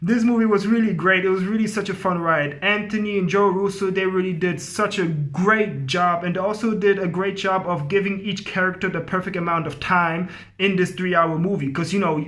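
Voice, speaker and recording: A man's voice: high-pitched, fairly bright tone. A man giving a casual, effusive movie review to viewers, rambling and often repeating himself. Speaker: film review host.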